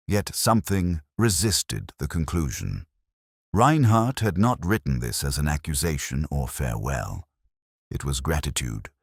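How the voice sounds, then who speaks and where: A man narrating a detective story in the first person, in a measured, reflective, literary way. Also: rumbling low, breathy edge, dry recording, clean studio sound.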